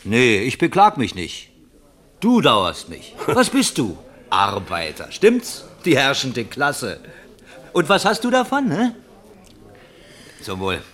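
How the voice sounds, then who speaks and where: A man's voice: mid-range voice, huge pitch swings, takes it slowly, fairly bright tone, exaggerated voice. An actor playing a tipsy, cocky pub drinker, a man who needles and boasts chattily in a radio drama. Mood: mocking. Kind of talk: naturalistic German radio drama acting.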